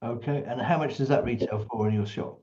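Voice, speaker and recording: A man hosting an interview over a video call, upbeat and moving things along with quick questions. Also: medium pitch, mellow, lo-fi sound. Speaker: interview host. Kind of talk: casual interview.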